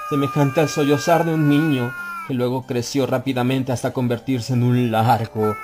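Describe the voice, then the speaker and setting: Man narrating a dark tale dramatically. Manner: theatrical, building tension with swells in pitch and volume. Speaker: audiobook narrator.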